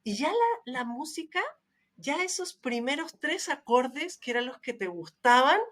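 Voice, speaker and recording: A woman's voice: high-pitched, sing-song, a little slow, a bit bright. A middle-aged woman in casual, nostalgic conversation, telling stories chattily with questioning tags and little acted-out sounds. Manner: chatty storyteller, tags phrases with questions, acts out small sounds. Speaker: podcast host.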